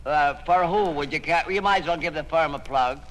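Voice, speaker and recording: Male actor as officious, exasperated comic quiz host, old-time radio style, high, forceful and sarcastic. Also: brisk, close to an everyday voice. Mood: officious, polite. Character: exasperated, fussy male quizmaster. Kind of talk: 1940s radio comedy hosting. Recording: noisy old radio hall broadcast.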